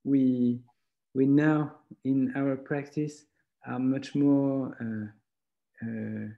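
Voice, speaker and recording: A man, a graphic designer speaking English haltingly on a video call, often searching for words. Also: mid-range voice, steady tone, gravelly. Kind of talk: casual chat. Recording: dull lo-fi call audio.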